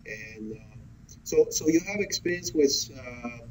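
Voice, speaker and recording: A man's voice: mid-range voice, animated, a little slow, a little mellow, low-quality recording. A man talking casually on a business call, hesitant and restarting his phrases, often adding "you know". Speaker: prospective business partner.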